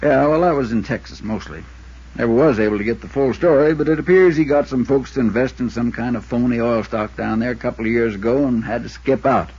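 A man's voice: medium pitch, darkish tone, slightly rough. Folksy insurance man, muffled old radio. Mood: easygoing, storytelling. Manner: folksy, drawn-out storytelling. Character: folksy, long-winded small-town Arizona insurance agent. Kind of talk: 1950s radio drama acting. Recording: muffled 1950s radio recording.

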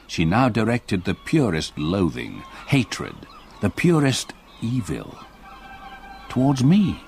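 Haunted old English solicitor, low-voiced. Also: male voice, darkish tone, a little hiss or hum. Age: older. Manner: grave, measured storytelling. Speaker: narrator. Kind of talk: dramatic radio play narration.

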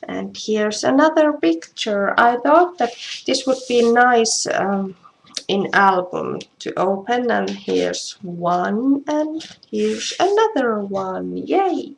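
A Finnish-accented woman talks through a craft demo in a creaky, medium-pitched voice. Her English is halting and self-deprecating, and the live stream has a faint noise and clicks behind it. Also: animated, low-quality recording. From Finland. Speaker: craft demonstrator. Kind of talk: casual live craft tutorial. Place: indoors at home.

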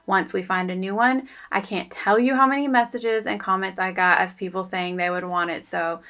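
A woman's voice: medium pitch, steady tone, normal speed, pushed and bright. A woman, talking through her cleaning in a chatty, confiding voice-over. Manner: chatty, confiding, self-deprecating. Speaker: cleaning vlogger. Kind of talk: casual voice-over narration.

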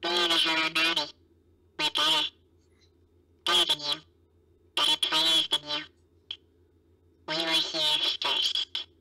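A tiny, sinister ancient being issuing a threat in a video game broadcast. The voice is high and heavily gravelled, with a flat, unvarying delivery. It speaks slowly and deliberately, coldly menacing, as if heard from a distance. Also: exaggerated voice. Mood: cold menace. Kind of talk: scripted villain broadcast monologue.

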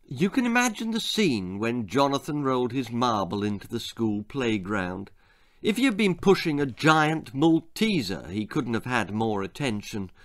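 A British man in his sixties reading a children's story aloud theatrically, with deliberate phrasing and dramatic pauses. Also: mid-range voice. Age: 60s. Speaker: author reading his own stories. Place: small indoor room lined with books.

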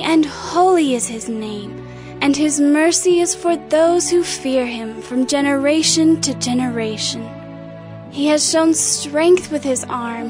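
A humble young maiden in an audio Bible drama. Her high voice recites with lyrical devotion, and music plays clearly behind her.

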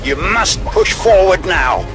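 An actor playing a commanding older male handler who briefs a soldier remotely in a deliberate, authoritative way in a video game. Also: sits high, expressive, over music. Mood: urgent.

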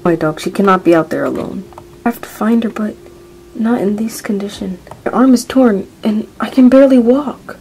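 A woman acting an injured young woman searching for her lost friend in an amateur audio drama, talking in a breathy half-whisper and often out of breath. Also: medium pitch, normal speed, a little mellow, natural voice, poor audio. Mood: determined.

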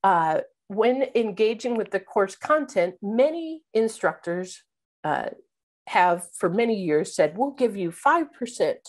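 A woman's voice: mid-range voice, animated, measured pace. A middle-aged woman on a video call, giving a keynote on teaching with an instructive manner, some ums and uhs, often checking in with "right?". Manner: instructive, fillers like um and uh, asks "right?". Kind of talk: webinar presentation. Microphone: video call webcam.

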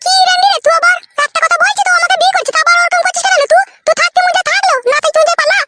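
Tiny-voiced, brash cartoon young wife. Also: female voice, fairly even intonation, racing, background noise. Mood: defiant. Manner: shrill, taunting, mocking retorts. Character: brash, combative young village second wife, defiant. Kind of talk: comic cartoon dialogue.

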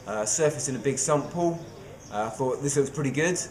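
A man's voice: mid-range voice, fairly quick. Understated man recapping a dive. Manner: relaxed, understated, many "uh" fillers. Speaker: cave diver. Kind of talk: interview recap.

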